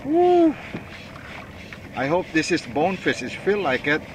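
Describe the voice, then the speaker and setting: A middle-aged man filming himself from a kayak on open water, calling out short excited reactions with whoops and laughter. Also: on the high side, measured pace. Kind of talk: live reactions while fishing. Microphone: action camera mounted on kayak. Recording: wind and water noise.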